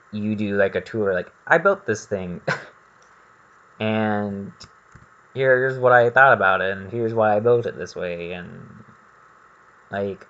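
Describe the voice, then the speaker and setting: Slow, rambling man with a bright voice. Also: fairly low pitch, highs cut off. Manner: rambling, thinking aloud. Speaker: gaming video host. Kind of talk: casual gameplay commentary.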